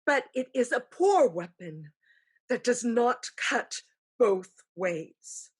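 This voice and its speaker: A woman acting a solemn ghostly aunt who gives measured, formal counsel in a staged play reading. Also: on the high side, very animated, breathy edge, full character voice. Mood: brooding, regretful. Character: ghostly aunt spirit, solemn, counselling, haunted by lost sister.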